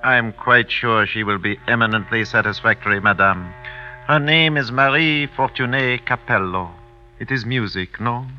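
An actor playing a smooth-talking, formal French marriage broker, eager to please, in 1950s radio drama style. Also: male voice, medium pitch, moderate pace, faint music behind, lightly acted. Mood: ingratiating. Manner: formal, ingratiating salesmanship. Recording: muffled vintage radio recording.

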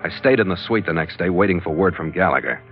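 An actor playing a tough, wry, loyal ship's skipper in a hard-boiled classic radio drama. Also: male voice, low voice, lively intonation, quick pace. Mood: grim narration. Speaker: lead actor and first-person narrator. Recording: dull vintage radio recording with music.

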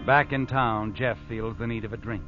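An actor playing a knowing, accusing narrator of a vintage radio mystery, dramatic and insinuating. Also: male voice, on the low side, normal speed, fairly bright tone, soft background music, lightly acted. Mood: knowing, ominous. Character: knowing, accusing male narrator addressing the culprit. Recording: muffled old radio recording.

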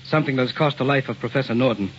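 Actor as a determined professor, muffled old radio. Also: male voice, medium pitch, rapid-fire, slight background noise, lightly acted. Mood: grave. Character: determined, curious male scientist professor, middle-aged expedition leader. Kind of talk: old-time radio drama acting. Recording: muffled vintage radio recording.

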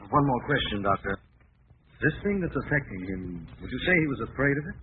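An actor playing a polite, probing gentleman investigator in an old radio mystery. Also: medium pitch, sing-song, fairly quick, lightly acted. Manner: courteous, inquisitive. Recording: tinny, noisy vintage radio, slightly distant.

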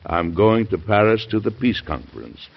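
An actor playing an earnest, stubborn, idealistic American president in formal, oratorical old-time radio drama style. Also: male voice, fairly low pitch, animated, moderate pace, vocal fry, husky, full character voice. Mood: resolute. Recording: muffled old radio broadcast recording.

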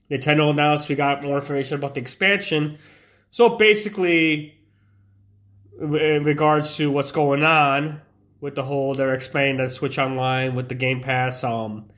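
A man's voice: fairly high pitch, fairly bright tone. A congested young man chatting loosely as a streamer, rambling with many fillers and restarts. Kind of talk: casual live-stream chat.

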